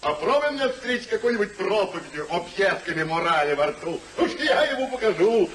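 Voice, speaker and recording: An actor playing a gruff, hearty old uncle in a theatrical 1950s Russian radio play, blunt and exclamatory. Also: male voice, high-pitched, very animated, brisk, fairly bright tone, rough recording. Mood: scornful, blunt. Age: older. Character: Sir Oliver, gruff hearty old uncle back from abroad.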